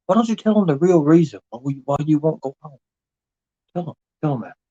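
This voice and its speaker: A man's voice: fairly high pitch, lively intonation, a little fast, warm tone. Insistent man, muffled audio. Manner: insistent, repetitive, confrontational. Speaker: livestreamer. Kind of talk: impassioned monologue to an absent person.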